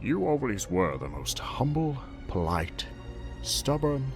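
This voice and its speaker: A man voicing a stern, wry old alien Jedi master in a comic dub, speaking formally and deliberately over music. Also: medium pitch, sing-song. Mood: wry, commanding. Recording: loud music under the voice.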